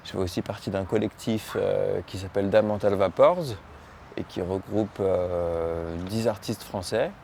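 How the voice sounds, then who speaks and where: Low-voiced Frenchman, hesitant speech. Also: a little slow, vocal fry, warm tone, slight background noise. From Lyon, France. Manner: hesitant, searching for words with repeats. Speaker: muralist painter. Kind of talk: interview talk about own work.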